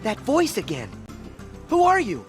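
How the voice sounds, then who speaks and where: A man voicing an earnest, puzzled teenage boy hero in an animated video game. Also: sits high, unhurried, heavily acted. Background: music.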